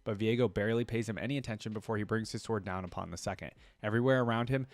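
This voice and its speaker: Male reaction host, lowish voice, very dry recording, narrating fantasy lore with casual asides. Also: steady tone, racing, no room sound. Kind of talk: reading game lore aloud with casual commentary.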